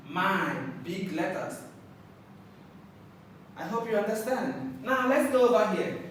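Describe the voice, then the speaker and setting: A man teaching an English lesson to children, slow and patient, repeating words and spelling out capital letters. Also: sits high. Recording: echoey room, far from mic, faint noise.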